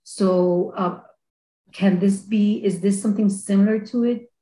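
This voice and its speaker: A woman's voice: mid-range voice, fairly even intonation, mellow. Earnest middle-aged woman, echoey call. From Colombia. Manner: earnest, quick bursts, many fillers. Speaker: activist asking a question. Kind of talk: webinar audience question. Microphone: video call. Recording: roomy echo on video call.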